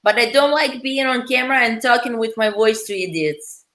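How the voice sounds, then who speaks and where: Bright-voiced woman with a foreign accent. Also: a little high, moderate pace. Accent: non-native English. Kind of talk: casual panel chat.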